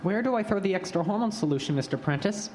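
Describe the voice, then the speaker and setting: A man's voice: sits high, animated, fast talker, a little mellow. A man acting a dutiful young assistant in an old-time radio drama. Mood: eager, questioning. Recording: faintly noisy live stage recording.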